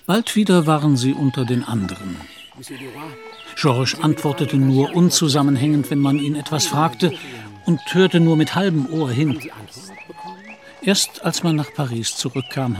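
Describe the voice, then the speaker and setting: A man's voice: mid-range voice, moderate pace, soft background music. A man reading scripted literary narration as the storyteller of a German radio play.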